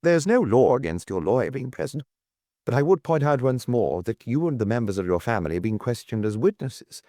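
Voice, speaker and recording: A man voicing an examining magistrate in an audiobook, formal, careful and measured. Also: mid-range voice, big pitch swings, dark tone, no echo, studio quality, close to an everyday voice. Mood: firm, formal.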